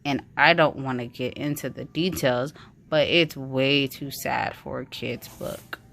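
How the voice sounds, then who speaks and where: A woman's voice: extremely low pitch, fairly even intonation, measured pace, vocal fry, fairly bright tone, slight background noise. A woman reading a children's story aloud in a storytelling style. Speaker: reader of a children's book.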